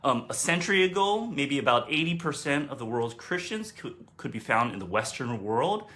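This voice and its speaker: A man's voice: medium pitch, big pitch swings, normal speed, pushed and bright. An American man giving a workshop talk as a theologian, hesitant, with many fillers and restarts. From United States.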